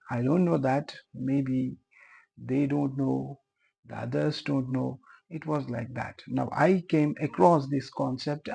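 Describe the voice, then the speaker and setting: A man's voice: a little high, creaky, dark tone, husky, rough recording. A young man whose first language is Urdu, earnestly explaining a beginner's tutorial and repeating his points, with many fillers. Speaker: teacher.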